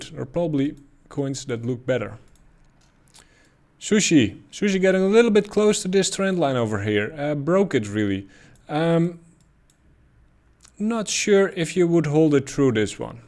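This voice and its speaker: A man's voice: on the high side, a little mellow. A man with a Dutch accent giving casual, thinking-aloud commentary on price charts, with frequent ums and uhs. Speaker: crypto chart analyst.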